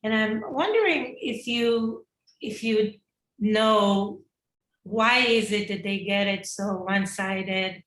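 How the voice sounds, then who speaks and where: A woman host poses long questions in a slow, deliberate way. Her pitch is middle, and her voice sounds echoey in the room. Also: a bit bright, slight background noise. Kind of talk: interview questions.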